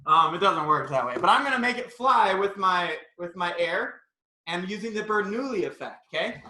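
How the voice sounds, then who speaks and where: A science presenter with a bright, forward voice gives a casual live demonstration for kids. The delivery is chatty and playful, and the room adds noticeable echo. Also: mid-range voice, animated, normal speed, slight background noise. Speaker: science show presenter for children. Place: indoor room, live online video call. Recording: roomy echo.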